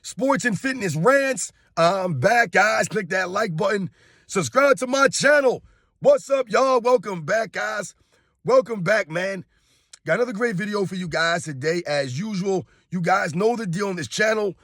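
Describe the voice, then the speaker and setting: A hoarse man in his thirties, a sports YouTuber delivering a casual, emphatic opinion rant straight to his viewers and calling them guys. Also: sits high, animated, measured pace, a bit bright, dry recording, studio quality.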